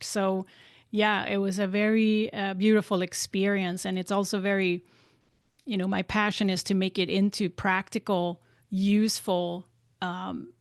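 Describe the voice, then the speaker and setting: A woman who is a non-native English speaker, talking reflectively as an interview guest in a halting, deliberate way, searching for words. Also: mid-range voice, no room sound, clean studio sound. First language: not English. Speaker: interview guest, spiritual life coach and author.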